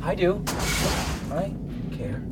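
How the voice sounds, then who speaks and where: An actor playing an earnest, idealistic young hero in a radio drama, with a boyish manner. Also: male voice, high-pitched, sing-song, slow, very noisy, heavily acted. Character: earnest, idealistic eager young rebel farm boy. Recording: radio drama with score underneath.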